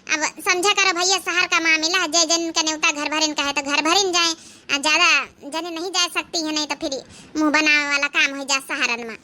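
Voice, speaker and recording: A woman's voice: sits high, steady tone, normal speed, pushed and bright. A woman voicing an aggrieved, scheming young village housewife in a homemade comedy cartoon, with an Awadhi accent and a dramatic, complaining manner. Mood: persuading.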